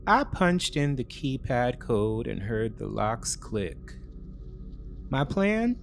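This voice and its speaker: A man narrating a scripted first-person psychological thriller in a deliberate way, with short weighted phrases and dramatic pauses. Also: medium pitch. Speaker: story narrator.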